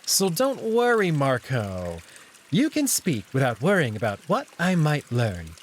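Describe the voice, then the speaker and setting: A sly jackal noble, acted by a man, slightly high in pitch and very animated, needling with mock courtesy. It is a visual novel character voice over faint background noise and trickling water. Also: measured pace. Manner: smooth-talking, mock-polite, taunting.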